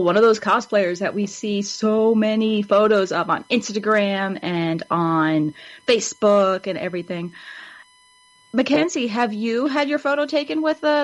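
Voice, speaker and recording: A woman's voice: fairly high pitch, lo-fi sound. A woman co-hosting a fan web show in casual chat, with a rambling, informal, upbeat manner.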